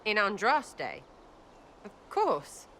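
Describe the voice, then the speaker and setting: An actress playing a scrappy, irreverent young archer in a video game, with an English accent and blunt, slangy, jumpy phrasing. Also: female voice, mid-range voice, wildly swooping pitch, drawn-out words, fairly bright tone, slight background noise, full character voice. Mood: defensive, uneasy. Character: scrappy, irreverent young woman archer, street-smart and impatient. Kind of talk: video game character acting.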